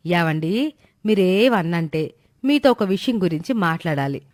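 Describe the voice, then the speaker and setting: A woman voicing a stern but soft-hearted older village mother in a moral story, scolding in colloquial rustic speech. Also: fairly low pitch, animated, measured pace, pitched below the performer's own voice. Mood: pleading. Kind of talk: animated moral story dialogue.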